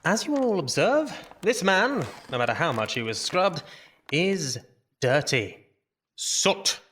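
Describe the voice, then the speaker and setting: A Victorian anatomy doctor for an audio drama. His voice is forceful and fairly high, swinging widely as he lectures. He is didactic and dismissive, pausing for emphasis. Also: very animated, exaggerated voice. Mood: lecturing, emphatic. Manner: theatrical, didactic, emphatic pauses.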